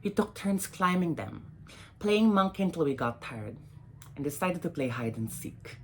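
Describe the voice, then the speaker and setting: A woman reading her own poems aloud in a deliberate, measured way, with pauses between phrases. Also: on the low side, sing-song, slight background noise. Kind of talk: dramatic poetry reading.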